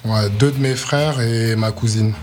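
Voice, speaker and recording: A man's voice: medium pitch, steady tone, a little slow, a little mellow, a little hiss or hum. A man, a reggae artist, answering casually in a laid-back, conversational way. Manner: laid-back, says "tu vois" often.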